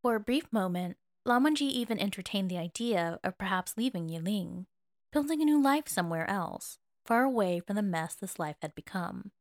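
A woman's voice: medium pitch, lively intonation, moderate pace, dry recording. Woman narrating a story, dry close sound. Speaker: narrator, fan fiction reader.

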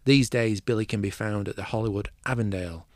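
Mancunian man hosting a movie podcast, low voice. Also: quick pace, no room sound, clean studio sound. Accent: Mancunian English. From England. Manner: chatty, enthusiastic movie fan. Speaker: podcast host. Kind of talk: scripted intro then casual interview.

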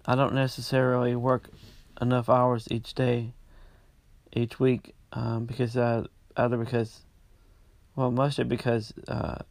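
A man hosting his own podcast, talking casually about his week, congested and halting with many restarts. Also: medium pitch, a little mellow, dry recording. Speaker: podcast host. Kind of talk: casual personal update.

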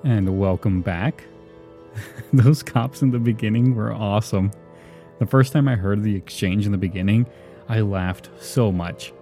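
Low, mellow male podcast host. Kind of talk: casual podcast commentary. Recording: music behind the voice. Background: faint music.

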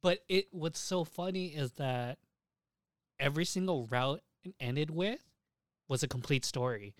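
A man hosting a podcast, chatting casually and retelling things in an animated, sweary way. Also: fairly high pitch, a little slow, no echo, clean studio sound. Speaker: podcast host. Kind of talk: casual chat about anime and visual novels.

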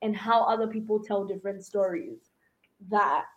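A woman's voice: mid-range voice, normal speed, muffled recording. A woman from Ghana with an American accent, a musician chatting casually and hesitantly as a podcast co-host. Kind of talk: casual conversation.

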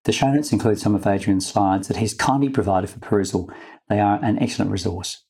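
Guy hosting a medical podcast, lowish husky voice, really mellow and dark. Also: brisk. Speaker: podcast host. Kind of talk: scripted medical narration and interview talk.

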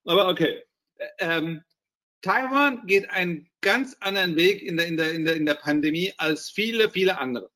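A man's voice: high voice, moderate pace, sharp tone. A man interviewing a guest in a casual way, with informal, joking asides and frequent fillers.

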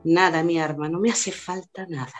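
A woman's voice: fairly low pitch, steady tone, normal speed, faint music behind, close to an everyday voice. A woman playing a breezy, cheeky married lady of leisure in a radio soap opera. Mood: dismissive.

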